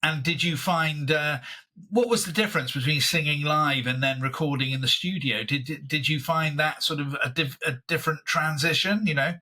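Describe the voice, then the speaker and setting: Hesitant male interviewer, bright voice. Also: fairly high pitch, moderate pace. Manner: hesitant, filler-heavy, admiring. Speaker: interviewer, host. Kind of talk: interview questions.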